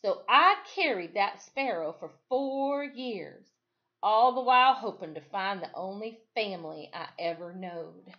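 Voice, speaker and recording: A woman's voice: mid-range voice, lively intonation, unhurried, dull audio, natural voice. A woman voicing a plainspoken, formerly enslaved storybook narrator who recalls the past in a folksy, emphatic, reflective way.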